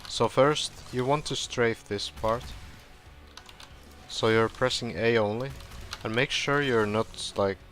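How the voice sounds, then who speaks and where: A man casually talking through a game walkthrough, relaxed and rambling with fillers, his voice going hoarse. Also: mid-range voice, slow, soft background music. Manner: relaxed, rambling, with frequent fillers and self-corrections. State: hoarse, clearing his throat. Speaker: gameplay tutorial streamer. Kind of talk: casual gameplay walkthrough commentary.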